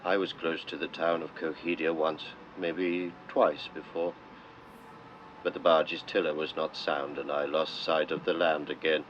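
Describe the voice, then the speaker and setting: A man narrating a script in an old-style documentary manner, with measured, solemn, deliberate phrasing. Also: rumbling low, flat delivery, fairly bright tone. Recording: dull lo-fi sound with clear noise.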